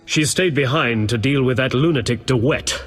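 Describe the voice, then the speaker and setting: An earnest knight of a holy order, played by a man for a fantasy game. His voice is rough-edged and formal, full of righteous purpose. Long reverb trails his words. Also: mid-range voice, normal speed, fairly bright tone, soft background music, natural voice. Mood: urgent, resolute. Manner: solemn, formal. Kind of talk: video game voice acting. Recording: long reverberant hall echo.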